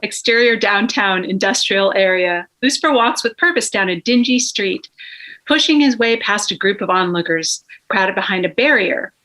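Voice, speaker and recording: A woman's voice: medium pitch, lively intonation, normal speed, sharp tone, poor audio. A woman reading stage directions as scripted narration.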